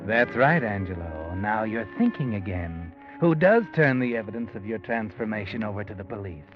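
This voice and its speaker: An actor playing an all-knowing, taunting narrator who needles the character in 1940s radio suspense style. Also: male voice, fairly low pitch, expressive, normal speed, lightly acted. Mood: sly, urging. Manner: taunting, dramatic, insinuating. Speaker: narrator of a crime mystery drama. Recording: muffled old radio broadcast with music.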